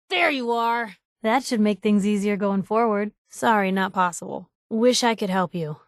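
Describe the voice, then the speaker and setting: A woman playing a sassy, warm-hearted young reporter and loyal companion in a video game, talking in wry, breezy, clipped slang. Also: fairly high pitch, no room sound, clean studio sound. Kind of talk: game voice lines, short reactive barks.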